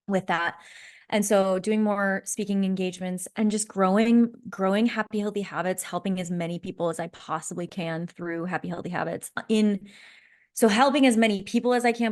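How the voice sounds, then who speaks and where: A woman's voice: on the low side, darkish tone. Bubbly woman in her thirties, chatty. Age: 30s. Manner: bubbly, laughs often, rambling restarts. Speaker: podcast co-host. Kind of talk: casual podcast chat. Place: San Diego.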